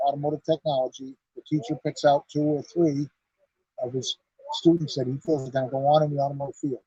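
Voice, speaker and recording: Easygoing husky-voiced middle-aged man outdoors. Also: on the high side, level tone, quick pace, mellow, no room sound. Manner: easygoing, chatty, folksy. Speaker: car club president and garage owner. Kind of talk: casual on-site interview. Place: outdoors at an evening car show. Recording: dull lo-fi live stream sound.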